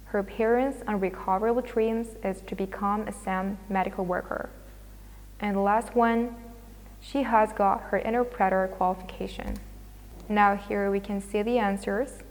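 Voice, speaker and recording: A woman lecturer teaches an English grammar lesson at a medium pitch. She explains step by step and reads her examples aloud. The recording has a long reverb tail.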